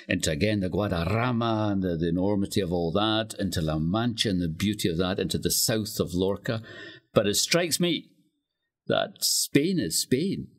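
A man chatting casually as a guest writer, with a husky voice. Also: lowish voice. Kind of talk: casual chat.

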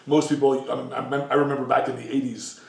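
Gravelly middle-aged man, roomy kitchen. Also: medium pitch, fairly even intonation, fairly quick. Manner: rambling, many fillers and self-corrections. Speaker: diet coach guest. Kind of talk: casual explanatory talk. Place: home kitchen, indoors. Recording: echoey kitchen, mic at a distance.

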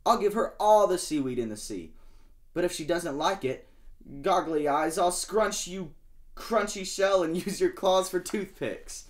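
A man's voice: high voice, a little slow, pitched above the performer's own voice. A man doing a blustering lovesick shark. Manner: loud, excitable, threatening. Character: big scary male shark, lovesick and blustering.

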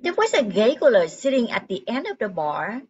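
An older woman playing an unhurried barmaid in an amateur radio drama. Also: on the high side, very animated, darkish tone. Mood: matter-of-fact. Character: Nadine, unhurried dive bar barmaid. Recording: muffled, noisy room recording.

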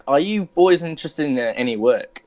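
A man's voice: fairly high pitch, animated, fairly quick, darkish tone, lightly acted. A man playing a casual, awkwardly eager bounty hunter in an improvised game roleplay, hesitant and often repeating himself. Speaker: player in a Minecraft roleplay.

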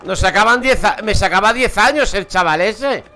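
A man's voice: sits high, animated, moderate pace, sharp tone, soft background music. A man from Madrid with a Madrid Spanish accent, streaming a game and chatting casually, swearing and laughing at his own stories. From Madrid, Spain. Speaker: gaming streamer. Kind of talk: casual let's-play commentary. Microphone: headset.

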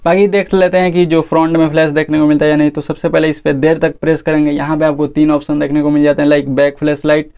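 A man's voice: fairly high pitch, completely flat delivery, fast, few pauses, clean tone, muffled recording. A young man narrating a phone tutorial casually and informally, talking straight to viewers. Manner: informal, addresses viewers as guys and friend. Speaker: tech video host.

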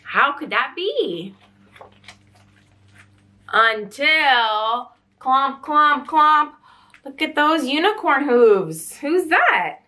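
A young woman storyteller reading a picture book aloud to children, expressive and full of wondering questions. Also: sits high, drawn-out words, long pauses. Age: young adult. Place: small indoor room.

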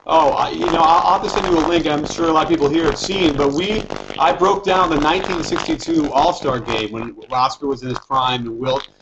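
A man's voice: a little high, fairly quick, hardly any pauses. A man in his forties with an American accent hosting a casual basketball chat over a video call from a living room. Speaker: interview host, basketball coach. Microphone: video call, computer microphone. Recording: dull, noisy video-call audio.

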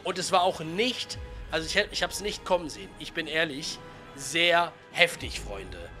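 A man in his twenties with a cold, giving chatty, slangy, excited commentary on a video game over faint music. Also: fairly high pitch, normal speed. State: has a cold. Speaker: gaming YouTuber.

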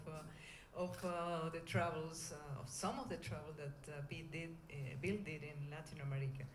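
A middle-aged woman with a Venezuelan Spanish accent gives an informal conference talk, speaking in a hesitant, halting way. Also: lowish voice. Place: indoor conference room. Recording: echoey room, clear background noise.

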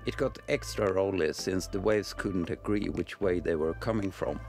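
A man's voice: low voice, moderate pace, a little mellow. A middle-aged man with a Swedish accent, a native Swedish speaker, narrating a travel story in English from a script. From Swedish mountains. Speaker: sailor and vlogger. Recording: voice-over with music behind. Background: music.

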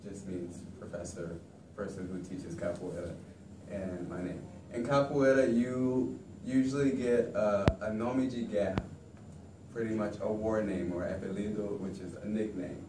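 A young man teaching about capoeira in a relaxed, conversational way, recorded from across a classroom. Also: lowish voice, a little slow. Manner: relaxed, conversational, frequent "you know". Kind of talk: informal classroom talk. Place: large classroom, indoors. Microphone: far camera. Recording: echoey room, distant mic, background noise.

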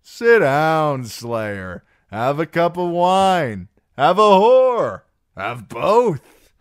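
A man's voice: a little high, very animated, clear, resonant tone, dry recording, studio quality, voice pushed up, exaggerated voice. A man voicing a boisterous, drunken young singer in an audiobook, cocky and mocking, with a swaggering drawl. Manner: loud, swaggering, slow drawn-out delivery. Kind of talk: audiobook character voice.

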